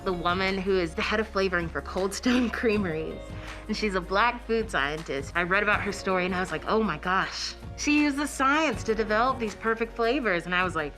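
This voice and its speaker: A woman's voice: medium pitch, a little fast, low-quality recording. Young woman student over music. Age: young adult. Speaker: college student. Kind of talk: documentary interview.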